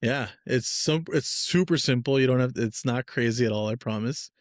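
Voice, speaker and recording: A man from Peru hosting a casual podcast interview, relaxed and upbeat, talking loosely with fillers. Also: medium pitch, moderate pace, no room sound, clean studio sound. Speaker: podcast host.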